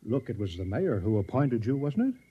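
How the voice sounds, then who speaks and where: Flustered small-town mayor, raspy, stammering, folksy, muffled old radio recording. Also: male voice, mid-range voice, big pitch swings, normal speed. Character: flustered, eager-to-please small-town mayor turned general. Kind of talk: old-time radio drama acting.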